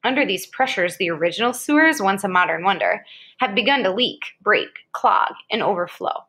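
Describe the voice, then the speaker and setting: A woman's voice: mid-range voice, expressive, moderate pace, bright, forceful tone, breathy edge. An American woman reading an educational article aloud in a conversational way, dropping in short casual asides. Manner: conversational, interjects short reactions. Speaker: reader of an article aloud to a child.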